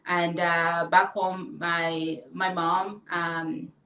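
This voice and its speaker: A woman from the Democratic Republic of Congo, answering interview questions casually and haltingly, with many fillers. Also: fairly low pitch, flat delivery, fairly bright tone. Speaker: guest, fashion business owner and designer. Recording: roomy echo, distant mic.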